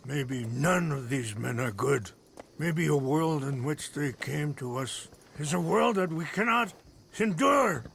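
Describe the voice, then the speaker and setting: An actor playing an old chief and father, speaking with solemn, measured pleading for his people in a video game. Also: a little high, lively intonation, creaky, a bit bright, a little hiss or hum, full character voice. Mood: solemn, weary. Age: older.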